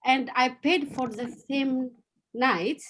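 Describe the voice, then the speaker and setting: A woman's voice: high voice, takes it slowly, fairly bright tone. A woman from Ethiopia in her thirties, telling her own story on a video call, with many fillers and restarts. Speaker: guest speaker. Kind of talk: personal story talk.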